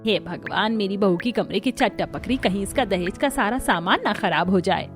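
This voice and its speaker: A woman's voice: mid-range voice, animated, moderate pace, faint music behind, lightly acted. A woman voicing a greedy, boastful, bossy older mother-in-law in a Hindi cartoon story, with a scolding, emphatic manner. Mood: worried.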